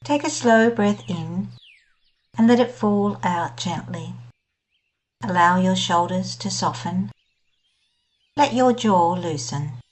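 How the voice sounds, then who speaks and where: A woman guiding a scripted meditation in a gentle, soothing, unhurried manner. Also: on the low side. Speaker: meditation guide. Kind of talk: scripted guided meditation narration.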